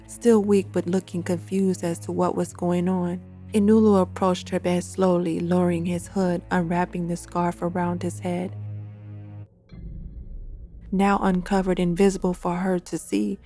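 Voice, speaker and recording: A woman narrating her own dark fantasy audio drama in a steady storytelling style. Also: on the low side, measured pace, soft background music. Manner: steady storytelling, voices every character. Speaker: narrator and author.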